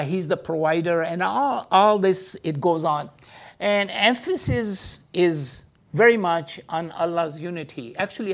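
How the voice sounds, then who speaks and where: A man giving an informal teaching talk, full of hesitations and filler sounds, speaking English with non-native phrasing. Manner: hesitant, many filler sounds, lively pitch jumps. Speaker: speaker teaching about Islam.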